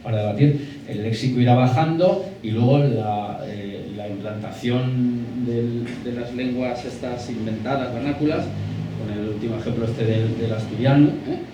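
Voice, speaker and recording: A man's voice: medium pitch, normal speed. A man on a discussion panel, answering an audience question in an emphatic, pessimistic way. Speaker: panel speaker. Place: lecture hall. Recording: echoey hall, distant mic, background noise.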